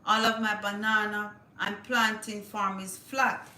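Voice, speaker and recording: A woman's voice: mid-range voice, flat delivery, unhurried, pushed and bright, echoey room. A woman hosting a casual livestream, chatting to viewers and reading news aloud with small stumbles. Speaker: livestream host.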